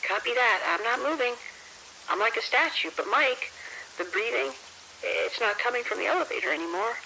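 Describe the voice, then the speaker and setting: An actor playing a frightened, jittery male security officer in a horror audio drama, halting and stammering. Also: very high-pitched, lively intonation, creaky, pushed and bright, exaggerated voice, poor audio. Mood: terrified. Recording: radio ambience with rain-like noise.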